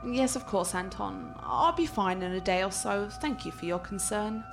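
A woman's voice: mid-range voice, normal speed, vocal fry, close to an everyday voice. An actress playing an intense, troubled, genteel young lady in a horror radio play, speaking in a theatrical and formal way. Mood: anxious, subdued. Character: intense, troubled genteel girlfriend haunted by nightmares. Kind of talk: dramatic radio play acting. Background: music.

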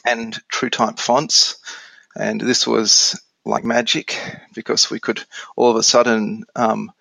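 An Australian man in his forties explaining things casually as an interview guest on software. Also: lowish voice, completely flat delivery, takes it slowly, vocal fry, dry recording, rough recording. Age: 40s.

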